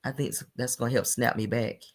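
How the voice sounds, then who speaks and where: A woman doing casual, blunt reality-TV gossip commentary for her viewers, her voice deepened by allergies. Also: fairly even intonation, a little fast. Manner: blunt, slangy, talks straight to viewers.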